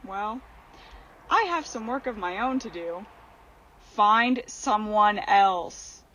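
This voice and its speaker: A woman playing a sly, bossy seductress spirit in a radio horror drama, coaxing her friend with drawn-out, emphatic words. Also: medium pitch, animated, a bit bright, a little hiss or hum, low-quality recording. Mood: urging.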